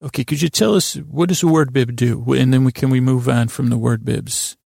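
Guy doing a skeptical interviewer, creaky voice, politely fed up, improvised podcast skit, real dry sound. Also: medium pitch, fairly even intonation, normal speed, darkish tone, no echo. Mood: politely exasperated. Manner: questioning, politely exasperated. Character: skeptical, patient male talk-show interviewer.

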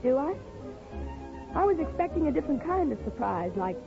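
An actress playing a devoted, slightly wistful young newlywed wife in a 1950s radio drama. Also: female voice, high-pitched, sing-song, brisk, creaky, heavily acted. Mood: bewildered. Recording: dull 1950s radio recording with music.

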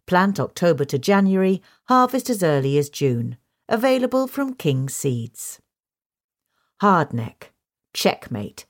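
A woman's voice: fairly low pitch, very animated, takes it slowly. A woman reading a gardening article aloud as scripted narration. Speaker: article reader.

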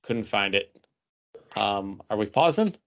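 A man with a fairly low voice talks in a loose, conversational review style. He halts and searches for his words as he goes. The sound is slightly dull and lo-fi. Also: animated, takes it slowly. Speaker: podcast co-host. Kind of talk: casual review chat.

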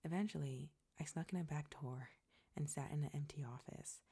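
A woman narrating a personal story in a soft, breathy, measured way. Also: low-pitched.

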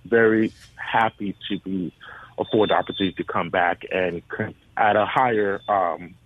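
A low-voiced man from the US Virgin Islands speaks as an interview guest over a narrow phone-like connection. He is earnest as he explains his work, and the sound is dry, with little room around it. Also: fairly even intonation, moderate pace, no room sound. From US Virgin Islands (Saint Thomas). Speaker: theater education director, interview guest. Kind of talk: interview answers about theater work. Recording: narrow phone-like radio line.

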